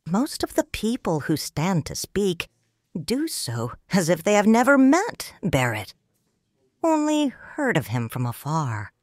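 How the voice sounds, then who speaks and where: A low-voiced woman narrates an audio drama as a proud, grieving noblewoman. Her delivery is slow and formal, with expressive swings in pitch, recorded very dry and close. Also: no echo. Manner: measured, solemn, formal. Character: grieving, proud noble widow, mature and restrained. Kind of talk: scripted first-person audio drama narration.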